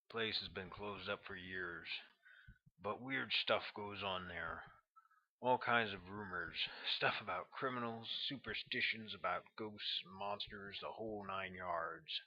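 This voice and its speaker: A man's voice: on the low side, expressive, a little slow, bright, forceful tone, lo-fi sound, full character voice. A man voicing a shady ex-Green Beret in an audiobook, talking in a colloquial, conspiratorial way. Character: shady male ex-Green Beret spreading eerie rumours.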